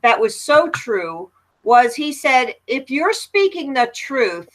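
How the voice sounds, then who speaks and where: An American woman with a loud voice that carries, chatting casually and telling anecdotes with emphasis on an online panel. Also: sits high, sing-song, slow. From United States. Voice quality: loud, projecting voice. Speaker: panel guest.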